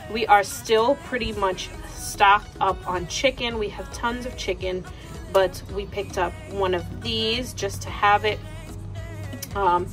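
Slow, chatty young woman with music. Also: medium pitch. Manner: relaxed, chatty, repeats words for emphasis. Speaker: vlogger showing a grocery haul. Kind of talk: casual show-and-tell narration. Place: home kitchen, indoors. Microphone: camera pointed down at items on a kitchen counter. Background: background music.

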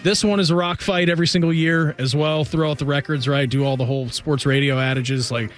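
Man, radio co-host, steady score rundown, faint music bed. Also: fairly high pitch, brisk. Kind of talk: post-game radio talk show.

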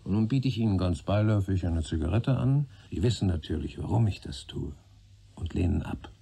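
An actor playing a patient senior police detective in a German radio play, speaking in a dry, probing manner. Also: male voice, low-pitched, expressive, fairly quick, vocal fry, mellow, husky, full character voice.

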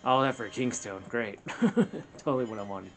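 A man's voice: mid-range voice, animated, moderate pace. A man commenting casually as he plays a video game, sarcastic and mildly exasperated. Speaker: co-host playing the game. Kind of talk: casual let's play commentary. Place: indoors, in front of a TV. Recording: game music over the talk. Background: video game music.

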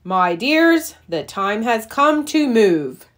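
A woman's voice: fairly high pitch, big pitch swings, slow pace. A woman voicing a cheerful, reassuring father bear in a children's storybook, with a hearty, emphatic manner. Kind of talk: children's storybook read-aloud.

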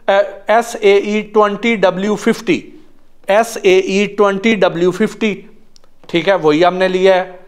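Middle-aged man explaining earnestly. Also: sits high. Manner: earnest, explaining step by step, repeating numbers to check. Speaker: automotive vlogger. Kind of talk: explanatory product demonstration. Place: ornate indoor room, seated at a table. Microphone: lapel mic.

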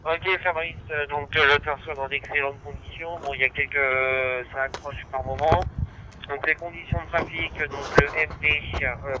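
A man giving informal signal reports, heard through a two-way radio speaker. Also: mid-range voice. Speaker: amateur radio operators replying. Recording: noisy walkie-talkie audio picked up outdoors.

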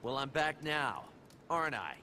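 An actor playing a brash, cocky young assassin antihero in a video game, blunt and curt. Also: male voice, medium pitch, sing-song, unhurried. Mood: challenging. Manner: blunt, curt, swearing swagger. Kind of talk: English video game cutscene acting. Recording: game audio with clear background noise.

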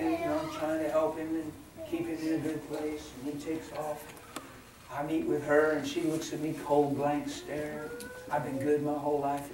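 An older man preaching with folksy, animated energy, rising loud and falling hushed. He is heard from a distance in a big, echoing hall with steady background noise. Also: a little high. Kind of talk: sermon. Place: large indoor livestock-arena church hall. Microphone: far camera. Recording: echoey hall from far off, ambient noise.

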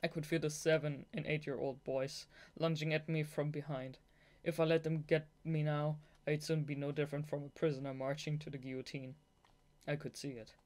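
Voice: mid-range voice, flat delivery, normal speed, a little mellow. Young amateur audiobook reader, native German speaker. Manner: giggly, gushing fangirl asides between read passages. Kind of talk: amateur audiobook narration with excited asides.

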